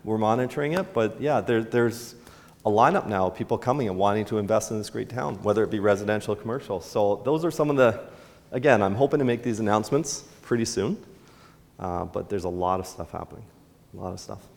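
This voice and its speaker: A man's voice: on the low side, fast talker, vocal fry. A middle-aged man from Canada, a town mayor, answering questions at a town hall in a casual, rambling way with many restarts. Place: large indoor hall with a stage. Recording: long hall reverb.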